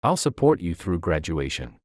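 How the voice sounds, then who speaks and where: A man's voice: deep voice, big pitch swings, moderate pace, a little mellow, no room sound, studio quality. A man voicing an earnest, humble teenage schoolboy in a narrated story.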